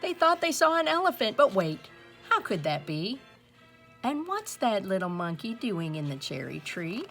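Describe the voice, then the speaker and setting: A woman reading a rhyming picture book aloud as an expressive storyteller. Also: mid-range voice, moderate pace, soft background music.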